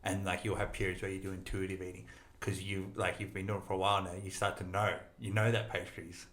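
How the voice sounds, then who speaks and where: A relaxed man hosting a chat, his lowish voice bright and forward. He leans on "like" and "um" as he talks, and the room behind him rings with echo. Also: fast talker. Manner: relaxed, laughs easily, filler-heavy. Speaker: host and fitness coach. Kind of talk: casual interview chat.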